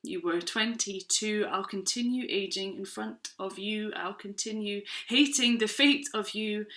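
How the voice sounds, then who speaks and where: A woman's voice: medium pitch, measured pace, a bit bright. A woman in her twenties from Scotland performing a spoken word poem with earnest, rhythmic delivery. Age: 20s.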